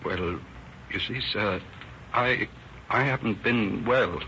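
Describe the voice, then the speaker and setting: Infirm apologetic man, deep, hesitant, deferential, slow, old radio drama, hissy dulled sound. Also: pushed and bright, heavily acted. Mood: meek, apologetic. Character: meek, infirm, apologetic male neighbour. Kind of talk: 1930s radio drama acting. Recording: dull, hissy old-time radio recording.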